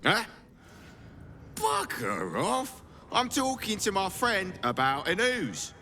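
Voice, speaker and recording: High, forceful, petulant drunk. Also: male voice, huge pitch swings, takes it slowly, soft background music, heavily acted. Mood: indignant. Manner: aggrieved, blustering. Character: belligerent drunken tavern companion, petulant and rude. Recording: background noise and ambience.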